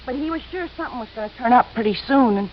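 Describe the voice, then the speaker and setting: An actress playing a young working-class widow, a defensive gun-moll type, slangy and halting, in 1950s radio drama style. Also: female voice, on the high side, lively intonation, slight background noise, lightly acted. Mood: defensive, wistful. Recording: muffled old radio broadcast.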